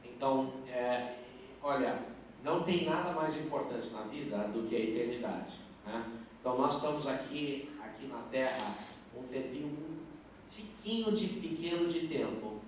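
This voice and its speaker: A middle-aged man, a pastor, answers questions at a live youth service, earnest and halting, restarting his sentences. His voice sits at an ordinary pitch for a man. It comes from far off in a big echoey church hall, with faint noise behind. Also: animated.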